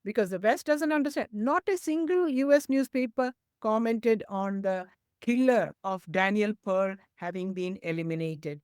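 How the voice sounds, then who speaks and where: A woman co-hosting a discussion, speaking in an indignant, forceful way. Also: medium pitch, normal speed, clean tone, dry recording, clean studio sound. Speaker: co-host.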